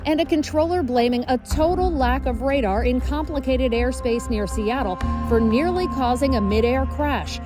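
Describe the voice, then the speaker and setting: A woman's voice: sits high, moderate pace, few pauses, music in the background. A woman reporter delivering scripted narration for an investigative television news report.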